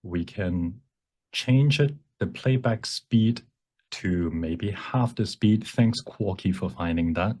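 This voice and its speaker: A man giving an informal workshop presentation with a demonstration, explaining in a halting way and often correcting himself. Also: fairly low pitch, darkish tone, breathy edge. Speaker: workshop presenter and font developer.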